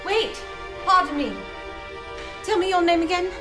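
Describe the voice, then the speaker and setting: A woman's voice: very high-pitched, measured pace, music in the background. A woman playing a polite, curious young lady in a radio play, earnest and a little tentative.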